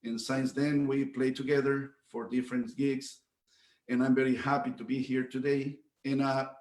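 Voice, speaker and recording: A man from Mexico, a mariachi guitarist, chatting and explaining the music in a friendly way with many fillers. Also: mid-range voice. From Reynosa, Mexico. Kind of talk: casual stage talk. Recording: roomy echo.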